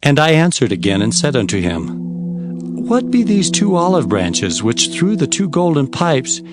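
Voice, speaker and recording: A man playing an earnest prophet who recounts his visions in formal, expressive storytelling for dramatized scripture. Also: fairly high pitch, normal speed. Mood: earnest, wondering. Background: faint music.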